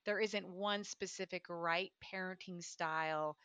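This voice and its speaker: Bright, enthusiastic woman host. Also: lowish voice, fairly even intonation, unhurried, clear, resonant tone, dry recording. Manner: enthusiastic, affirming, laughs often. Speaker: podcast host. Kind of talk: conversational interview.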